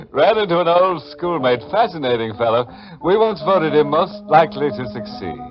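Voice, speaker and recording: A man's voice: fairly high pitch, sing-song, slow pace. A man with an American accent playing a ghoulish, sardonic host of a 1940s radio horror mystery, narrating dramatically. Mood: gleefully macabre. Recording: muffled 1940s radio broadcast with music.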